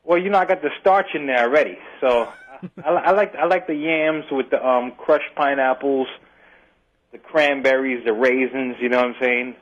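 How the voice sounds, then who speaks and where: A man's voice: medium pitch, moderate pace, no room sound. A man calling in to a radio talk show, chatting casually in a jokey, laid-back way and laughing often. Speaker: caller. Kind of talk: casual phone-in chat. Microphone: phone. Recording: dull phone line.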